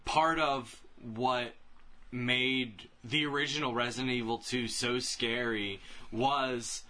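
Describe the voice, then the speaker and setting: Casting: male podcast co-host with a bright, clear-cut tone, thinking aloud in casual group conversation. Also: mid-range voice, steady tone, slow. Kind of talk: casual group chat about video games.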